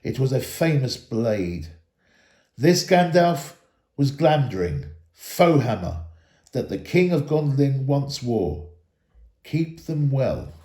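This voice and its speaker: A man voicing a wise, grave ancient elf lord in a storybook, with measured, solemn, archaic phrasing. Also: medium pitch, sing-song, dark tone, echoey room. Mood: solemn, stately.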